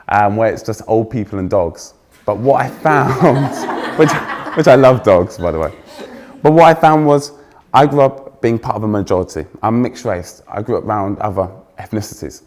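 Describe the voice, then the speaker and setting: Young English male keynote speaker, fairly posh accent, loud, energetic, teases the crowd. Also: lowish voice, normal speed. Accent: trained-out Essex, now fairly posh English. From England. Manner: energetic, joking with the audience, self-deprecating. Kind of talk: conference keynote talk. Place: stage before a live audience.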